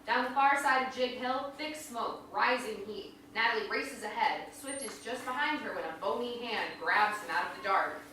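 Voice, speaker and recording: A woman's voice: on the high side, moderate pace, few pauses, pushed and bright. A woman reading stage directions as dramatic scripted narration. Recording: echoey hall from far off, faint noise.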